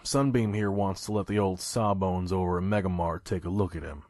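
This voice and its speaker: A man playing a weary, guarded pony trader in an audio drama, with a gruff, dry, terse way of talking. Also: deep voice, steady tone, a little fast, a little mellow, no echo, natural voice. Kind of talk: audio drama narration and dialogue.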